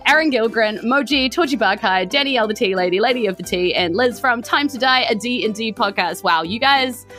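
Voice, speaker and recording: Bright-voiced New woman hosting. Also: a little high, fairly quick. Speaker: game master and podcast host. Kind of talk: narrating a tabletop game and chatty podcast outro. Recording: music behind the voice.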